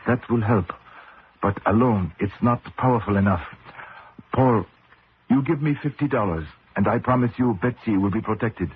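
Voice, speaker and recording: An actor playing a steady, mystical racing-team hand in a radio mystery, with terse, deliberate, foreign-flavored phrasing. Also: male voice, fairly low pitch, slight background noise, lightly acted. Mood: insistent. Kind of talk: radio mystery drama acting. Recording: muffled narrow-band old radio recording.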